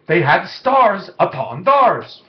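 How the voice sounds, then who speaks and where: A man's voice: sits high, very animated, slow pace. A man reading a children's rhyming story aloud, with theatrical cadence and big shifts in volume. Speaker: storybook reader. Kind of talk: dramatic children's story narration. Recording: muffled lo-fi, echoey room.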